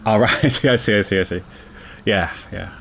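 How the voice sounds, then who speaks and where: A man's voice: on the low side, a little fast, creaky, fairly bright tone, a little hiss or hum. A man, streaming a game and rambling in casual chat with many restarts.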